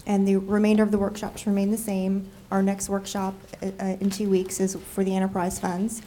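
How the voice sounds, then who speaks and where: A woman with a cold, nasal and froggy, presenting a city budget to council in a measured way with frequent ums. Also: medium pitch, completely flat delivery, dark tone, slight background noise. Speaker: city deputy budget director. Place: council chamber.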